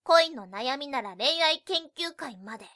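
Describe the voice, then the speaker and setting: A woman playing a prim high school girl, polite but blunt and scolding, in a youth comedy audio drama. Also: lively intonation, a little slow, bright, forceful tone, no room sound, clean studio sound, exaggerated voice. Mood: polite, pointed. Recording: light music behind.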